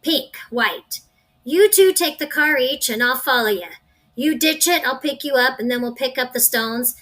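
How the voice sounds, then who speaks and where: A woman's voice: sits high, expressive, moderate pace, fairly bright tone. A woman playing a brash, foul-mouthed crime gang boss in an audio drama, impatient and sarcastic. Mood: bossy, impatient.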